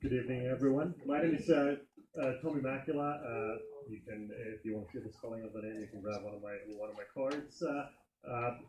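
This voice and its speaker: A man's voice: medium pitch, a little mellow, a little hiss or hum. A man from Finland introducing himself as a candidate at a community meeting, friendly and hesitant with many fillers. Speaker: state senate candidate. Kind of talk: campaign speech at community meeting. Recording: echoey meeting room.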